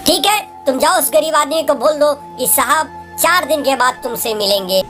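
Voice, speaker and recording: A man's voice: very high-pitched, moderate pace, sharp tone, soft background music, voice pushed up. A man voicing a rich, boastful male crow and fickle friend in a cartoon story. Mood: dismissive. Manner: boastful, grand promises.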